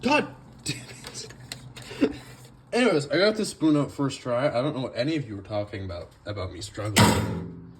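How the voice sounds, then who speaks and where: A young man rambles through a home cooking vlog, full of fillers and poking fun at himself. His voice sits at an ordinary pitch and is picked up on a camera mic in his kitchen, and he sometimes drifts away from it. A steady hiss of noise runs under the whole recording. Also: moderate pace, pauses often. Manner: self-deprecating, rambling, filler-heavy. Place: home kitchen, moving around the stove.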